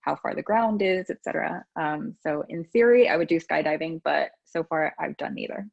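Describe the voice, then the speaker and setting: Podcast host, Texan woman, low pitch, relaxed and chatty, lo-fi remote call sound. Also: moderate pace, gravelly. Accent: Texas. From United States. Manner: relaxed, with frequent ums and restarts. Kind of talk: casual conversational interview. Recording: dull lo-fi audio.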